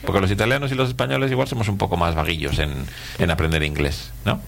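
Very deep-voiced Castilian Spanish man, slightly creaky. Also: steady tone, fast talker, slight background noise. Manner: chatty, rambling, often ends phrases with a questioning tag. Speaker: radio host interviewing schoolchildren. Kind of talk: casual conversational interview.

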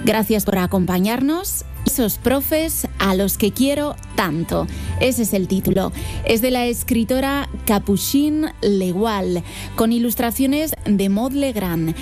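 A middle-aged woman from Spain hosting a children's radio show in a bright, friendly, upbeat way. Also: a little high, animated, slow pace, soft background music. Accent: Spain Spanish. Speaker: radio host.

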